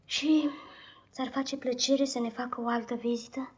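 A woman's voice: fairly high pitch, fairly even intonation, slightly rough. A woman playing a timid, earnest ten-year-old orphan boy in a 1950s radio drama, polite and emotional. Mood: earnest, subdued.

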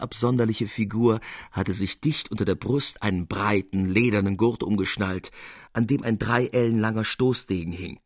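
A man reading literary prose as a narrator, in a measured and expressive storytelling manner. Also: on the low side, no room sound, studio quality.

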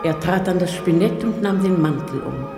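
A woman's voice: fairly low pitch, normal speed, lightly acted. An actress playing a nostalgic woman lost in memories in a radio play, in a hall with music. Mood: reminiscing.

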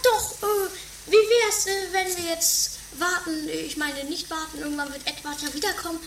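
Whiny hungry lazy cook, super squeaky high, homemade radio play, echoey. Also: female voice, steady tone, normal speed, distant mic. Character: piercing, lazy, ever-hungry household cook, greedy and whiny. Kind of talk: amateur comedy radio play. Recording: roomy, slightly noisy amateur tape.

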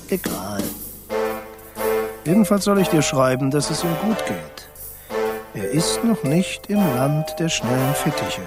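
A man's voice: on the high side, big pitch swings, slow pace, pauses often. A man playing a chatty, scatterbrained barn mouse in a children's radio play, rambling and forgetful in an offhand way. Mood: chuckling, muddled. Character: chatty scatterbrained barn mouse, a family creature writing a letter. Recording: loud music behind the voice.

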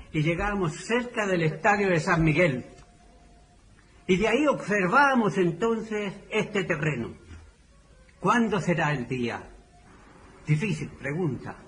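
Slow, high-voiced older Chilean man. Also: fairly bright tone, a little hiss or hum, poor audio. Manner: deliberate, halting phrases with pauses. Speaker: former community leader giving testimony. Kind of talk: oral history storytelling.

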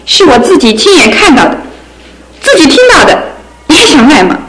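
A woman's voice: high-pitched, very animated, a little fast, rough recording. An actress playing a jealous, wounded young sweetheart in a radio drama, petulant and accusing in her emphatic delivery.

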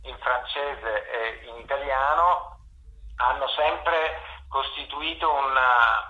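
A man with a bright, forceful voice talks slowly as an interview guest. He halts and searches for words, in a roomy, slightly dull recording. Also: mid-range voice. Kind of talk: spoken interview, explaining his work.

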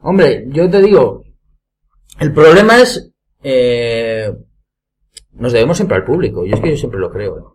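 A man's voice: medium pitch, big pitch swings, measured pace, long pauses, darkish tone, husky. A man chatting casually as a podcast guest. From Spain. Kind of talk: casual chat.

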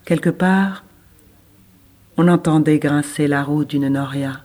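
Guy reading literary narration, pretty slow, voice a bit high, measured phrasing. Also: steady tone, darkish tone. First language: French. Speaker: reader of literary text.

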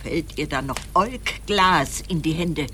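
Low-voiced, solemn hearth goddess. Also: female voice, slow, slight background noise, heavily acted. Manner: solemn storyteller tone, expressive. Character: grave, protective goddess of the hearth fire. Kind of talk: radio drama acting.

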